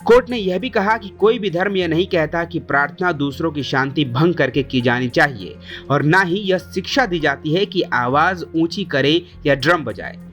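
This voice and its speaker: Higher-pitched man reading a news explainer. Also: moderate pace, a bit bright, soft background music. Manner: formal, addresses viewers with rhetorical questions. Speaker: news presenter. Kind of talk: scripted news explainer narration.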